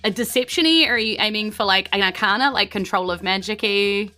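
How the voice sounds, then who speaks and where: A woman's voice: medium pitch, brisk, sharp tone. A woman with a New Zealand accent narrating a tabletop game as game master, chatty and informal, putting questions to the players.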